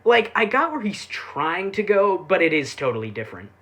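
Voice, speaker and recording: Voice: medium pitch, big pitch swings, moderate pace, a bit bright. A reviewer giving a casual, sarcastic recap, full of offhand asides and swearing. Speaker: anime reviewer.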